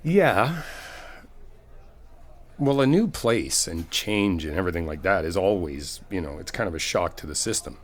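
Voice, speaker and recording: A man's voice: low voice, animated, moderate pace. A man playing a supportive, easygoing best friend in a casual ASMR comfort roleplay, talking conversationally and reassuringly as if to one listener. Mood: reassuring, explanatory. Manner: conversational, reassuring, hesitant rephrasing. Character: supportive, easygoing male best friend giving a pep talk. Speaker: audio roleplay performer.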